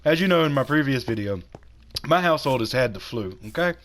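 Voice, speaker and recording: A man with a cold, hoarse and a little raspy, chatting casually while he builds in a video game and talks himself through each step. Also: medium pitch, animated, a little fast, fairly bright tone. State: hoarse from sinus infection. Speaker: gaming channel host.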